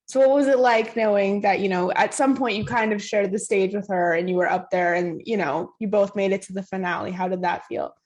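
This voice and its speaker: A woman hosting a casual interview, with a mid-pitched voice. She strings her questions together loosely, leaning on "like" and "you know" as she goes. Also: fairly quick, few pauses, a little mellow.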